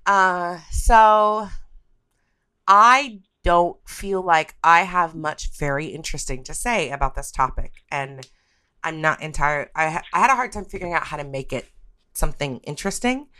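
A woman's voice: low-pitched, moderate pace, sharp tone, dry recording. A woman hosting a podcast, talking through a topic. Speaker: podcast host.

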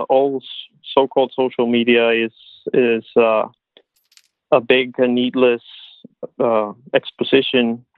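A man talking casually as a podcast guest, halting as he searches for words, over a muffled phone-like line. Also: medium pitch, dry recording. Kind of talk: casual interview discussion.

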